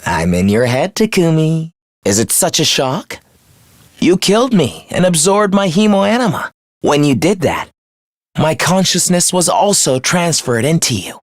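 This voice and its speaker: A man's voice: fairly high pitch, big pitch swings, unhurried, no room sound, clean studio sound. A young man playing a smug, mocking villain in a video game, with a condescending, theatrical delivery. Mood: smug, taunting. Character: taunting, smug young villain living in someone's head. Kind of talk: video game character acting.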